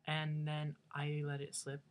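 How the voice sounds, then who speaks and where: A man's voice: on the high side, monotone, measured pace, smooth. A young man from the United States with a slight Norwegian accent, telling a funny personal story in a chatty, self-mocking way with hesitant restarts. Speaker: vlogger. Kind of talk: casual storytelling.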